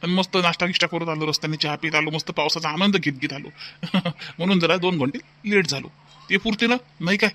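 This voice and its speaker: An easygoing older village father-in-law from a cartoon comedy , acted by a man, pitched high for a man. He rambles along and repeats himself in a folksy Vidarbha Marathi accent. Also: fast talker, bright, forceful tone. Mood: jolly, rambling. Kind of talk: rustic cartoon comedy dubbing.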